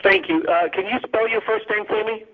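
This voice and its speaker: A man with a slightly high voice moderates a call. His delivery is orderly and businesslike, and the sound has the narrow, muffled band of a telephone. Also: steady tone, a little fast.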